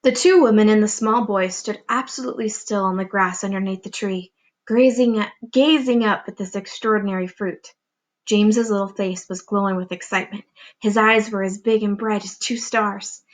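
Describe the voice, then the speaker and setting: A woman reading a children's storybook aloud as a teacher would, with expressive, deliberate narration. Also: medium pitch.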